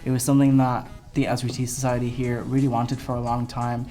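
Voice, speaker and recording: A man's voice: mid-range voice, flat delivery, quick pace, mellow. A young man in his twenties with a Dublin Irish accent, answering questions earnestly for a student election campaign video, with frequent ums. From Ireland. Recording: music playing under the voice. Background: background music.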